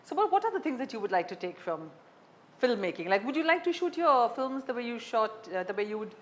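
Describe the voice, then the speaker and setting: A woman's voice: on the high side, sing-song, brisk, a bit bright. A woman interviewing a guest in a conversational style. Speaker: interviewer, journalist. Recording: faint background noise.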